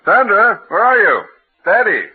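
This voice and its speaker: An actor playing a confident, affectionate newlywed father with a husky voice in a 1940s radio drama. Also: sits high, sing-song, measured pace, full character voice. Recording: very muffled vintage radio recording.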